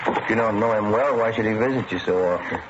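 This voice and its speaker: An actor playing a courteous, persistent police detective with a plain questioning manner in a 1950s radio drama. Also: male voice, on the low side, quick pace, lightly acted. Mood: polite, probing. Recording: muffled, noisy vintage radio recording.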